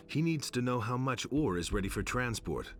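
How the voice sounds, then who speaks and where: A man's voice: medium pitch, expressive, moderate pace, lightly acted. An actor voicing a curt male adventurer-mage, the hero of a video game, with a dry manner. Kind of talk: dubbed video game dialogue.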